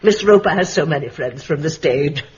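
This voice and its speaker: Bossy eccentric old spinster, husky and precise, muffled radio drama recording. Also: female voice, deep voice, expressive, normal speed, darkish tone, exaggerated voice. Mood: gracious, grand. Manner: grand, precise, commanding.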